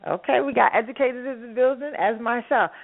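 A woman's voice: a little high, quick pace, creaky. A woman from Harlem hosting a call-in radio panel, chatty and laughing often. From Harlem, New York. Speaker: radio show host. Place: phone call. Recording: narrow phone-line sound.

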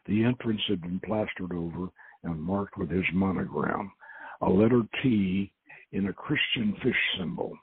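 A man reading an adventure story aloud in a dramatic narrating style, with a husky, gravelly voice and a halting delivery. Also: low-pitched. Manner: halting, stumbles and corrects words. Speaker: host reading own novel aloud. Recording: tinny phone-line sound.

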